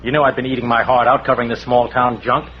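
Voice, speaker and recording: Man as a fast-talking, bitter hard-boiled reporter. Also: medium pitch, little pitch movement, natural voice. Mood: bitter, accusing. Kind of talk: 1940s radio drama acting. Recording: muffled, hissy old radio recording.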